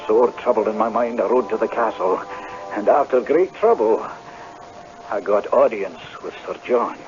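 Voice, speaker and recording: A man's voice: fairly low pitch, animated, close to an everyday voice. Man as a storyteller over music. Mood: troubled, reflective. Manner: measured, literary storytelling. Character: grave Scottish storyteller recounting his uncanny adventure. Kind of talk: dramatic first-person radio narration. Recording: dull vintage radio broadcast, music behind.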